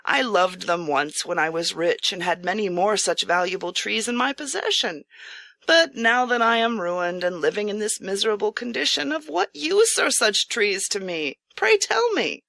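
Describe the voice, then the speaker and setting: Ruined but proud samurai farmer for an audiobook female reader: bright tone, courteous formal delivery, dry close sound. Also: mid-range voice, animated, normal speed, creaky, dry recording. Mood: resigned. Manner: earnest, courteous, formal old-fashioned speech. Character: impoverished proud samurai disguised as humble farmer host. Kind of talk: audiobook character acting.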